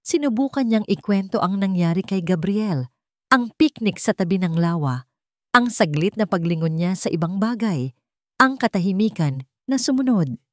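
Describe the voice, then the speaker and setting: A woman narrates a scripted dramatic story in a measured delivery, pausing between phrases for effect. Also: mid-range voice, expressive, darkish tone, dry recording, clean studio sound.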